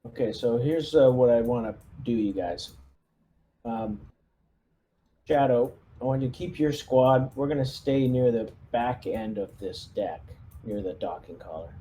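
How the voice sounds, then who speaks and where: A man's voice: medium pitch, a little slow, dark tone. A man playing a squad leader in a casual online tabletop game, giving directions in an easygoing, slightly hesitant way. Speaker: player acting as squad leader. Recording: clear background noise, room ambience.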